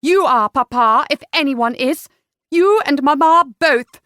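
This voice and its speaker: A woman voicing a spirited, defiant young gentlewoman in a historical romance audiobook, forthright and emphatic. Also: a little high, expressive, normal speed, dry recording, close to an everyday voice. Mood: indignant.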